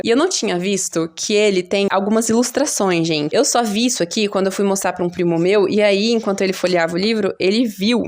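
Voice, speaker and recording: A woman's voice: mid-range voice, a little mellow. A Brazilian woman chatting casually and enthusiastically to viewers as a book vlogger, in an informal way. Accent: Brazilian Portuguese.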